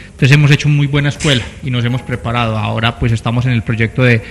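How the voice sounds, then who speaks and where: Even-toned Colombian man, reverberant sound. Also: mid-range voice, fast talker, slight background noise. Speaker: interview guest, council candidate. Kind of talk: conversational interview answers. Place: small TV studio set. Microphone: handheld microphone.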